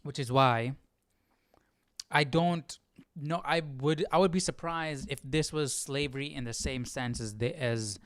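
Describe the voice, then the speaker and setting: Clear, close-sounding man thinking aloud. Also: medium pitch, a little slow, a bit bright, dry recording. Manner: questioning, thinking aloud, often seeking agreement. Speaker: host of a livestream Bible study. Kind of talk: reading aloud with casual off-the-cuff commentary.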